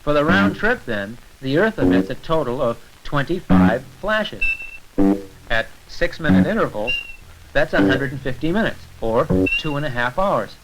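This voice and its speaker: A man's voice: mid-range voice, big pitch swings, normal speed, music in the background. A man narrating an educational science short in an informal, conversational lecture style, explaining step by step. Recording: noisy old 1970s film soundtrack with clicks.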